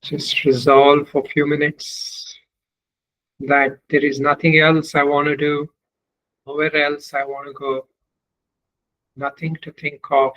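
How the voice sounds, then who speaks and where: Guy teaching meditation, slightly high voice, really flat intonation, short careful phrases w long gaps. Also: vocal fry, dull audio. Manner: measured, deliberate phrases with long pauses. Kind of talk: guided meditation instruction.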